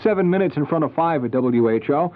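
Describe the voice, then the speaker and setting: A man's voice: a little high, animated, fast, narrow, tinny audio. A man reading scripted news and weather as a radio anchor on a band-limited 1970s AM broadcast recording. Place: radio studio.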